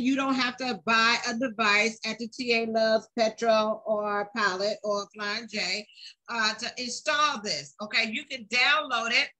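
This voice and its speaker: A woman's voice: high voice, sharp tone, low-quality recording. An American woman giving a casual, emphatic walkthrough as a channel host, often checking in with her listeners. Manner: emphatic, repeatedly checks in with "okay?". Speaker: channel host and truck driver presenting a product review. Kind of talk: casual screen-recorded app walkthrough.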